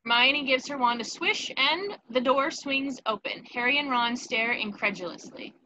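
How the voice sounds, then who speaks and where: A woman reads out the scene directions of a script. Her voice is crisp-edged and on the high side, recorded with slight noise in the background. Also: animated, moderate pace, pushed and bright, low-quality recording. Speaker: narrator reading stage directions. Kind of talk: scripted narration in a table read.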